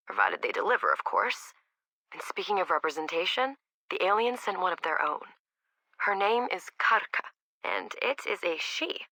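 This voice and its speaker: Bright, low-pitched wry woman scientist. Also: dry recording, poor audio. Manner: sardonic, informal, chatty. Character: wry, candid female research scientist recording audio logs. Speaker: research scientist character. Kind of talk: video game audio log acting, conversational diary.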